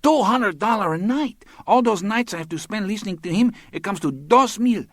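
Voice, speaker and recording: A man's voice: sits high, animated, moderate pace, fairly bright tone, no room sound, voice pushed up. A man voicing a bitter, complaining young woman who speaks broken English, in a dry audiobook reading.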